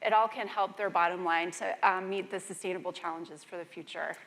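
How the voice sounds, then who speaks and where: A woman speaking on a conference panel, enthusiastic and quick. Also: on the low side, steady tone, a bit bright.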